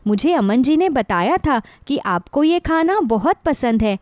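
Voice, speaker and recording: A woman's voice: high-pitched, lively intonation, a little slow, a little mellow, no echo. A woman playing a sweet, dutiful young daughter-in-law, eager to please, in a moral story. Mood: eager, respectful. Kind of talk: acted dialogue in a moral story.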